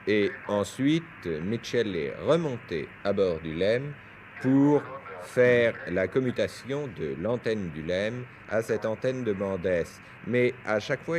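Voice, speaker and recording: Deep-voiced man commentating, noisy old newsreel. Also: a little mellow. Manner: didactic, explanatory. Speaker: science commentator on a TV news broadcast. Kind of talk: live-style commentary over footage. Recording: noisy 1971 French TV newsreel.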